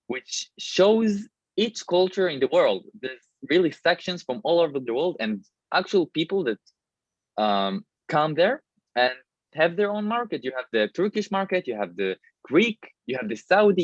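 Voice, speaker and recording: A man's voice: fairly high pitch, very animated, no room sound. Israeli-born male guest, stammering storyteller; muffled, dry recording. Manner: halting, stutters on pronouns. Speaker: panel guest. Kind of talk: personal story in casual talk. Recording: dull, dry lo-fi sound.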